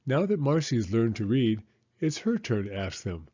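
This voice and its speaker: Man playing a mellow, patient storybook dad. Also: medium pitch, very animated, normal speed, highs cut off. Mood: patient, explaining. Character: patient, encouraging storybook father.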